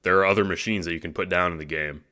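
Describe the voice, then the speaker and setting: A man voicing an excitable, goofy, stubborn young boy in an audio drama, slangy and exclamatory. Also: low-pitched, fast, noisy background, close to an everyday voice. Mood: goofy, casual.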